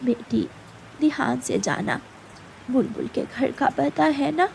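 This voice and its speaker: Woman as elderly granny bird, feeble, gentle, loving, cartoon story, noisy background. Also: sits high, animated, slow pace, creaky, full character voice. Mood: cautioning. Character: frail, weak old grandmother bird, loving. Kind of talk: children's cartoon story acting.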